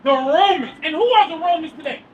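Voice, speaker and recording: A man preaching loudly outdoors on a city street. He quizzes the crowd with questions and then answers them himself. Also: extremely high pitch, lively intonation, brisk, highs cut off. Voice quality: loud and projecting, near yelling. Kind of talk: loud open-air street preaching. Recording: far from the mic with street noise.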